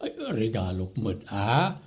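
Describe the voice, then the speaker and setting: Slow, husky flirtatious uncle played by a man. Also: lowish voice, big pitch swings, full character voice. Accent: Northern Philippine. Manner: cajoling, teasing. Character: flirtatious middle-aged uncle, sweet-talking guardian. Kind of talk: comedy audio drama acting.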